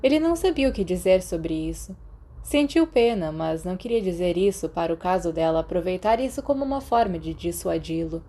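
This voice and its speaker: Mellow Brazilian woman narrating fiction. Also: lowish voice, lively intonation, fairly quick. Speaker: audiobook narrator.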